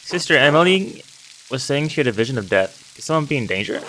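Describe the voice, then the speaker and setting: A man's voice: medium pitch, very animated, fast, bright, forceful tone, slight background noise, rough recording. A man voicing a curious, concerned young man in a narrative game, with a puzzled, questioning manner. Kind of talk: reading aloud narrative game dialogue. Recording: music and rain behind the voice.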